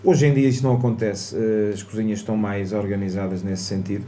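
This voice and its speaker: A man's voice: fairly low pitch, fairly even intonation, quick pace, dark tone. A man with a European Portuguese accent telling kitchen stories in casual chat, with chuckles and asides. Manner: storytelling, chuckling, drifting into asides. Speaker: chef guest recounting childhood. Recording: echoey room with faint noise.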